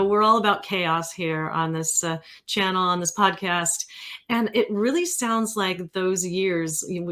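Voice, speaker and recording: A woman's voice: lowish voice, fairly bright tone. A woman hosting a podcast interview in casual chat, informally rephrasing as she builds up her questions.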